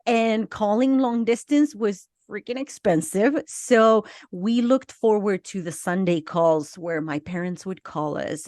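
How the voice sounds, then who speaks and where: A middle-aged woman from Argentina with a slight Argentine Spanish accent, telling her life story as a podcast guest in a slow, reflective way. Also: medium pitch, dry recording, studio quality. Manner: slow, reflective, with small laughs and restarts. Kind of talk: personal life story in interview.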